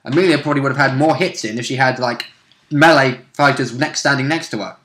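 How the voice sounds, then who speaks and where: A man's voice: mid-range voice, fast, fairly bright tone. A man who plays tabletop roleplay, chatting casually about the game.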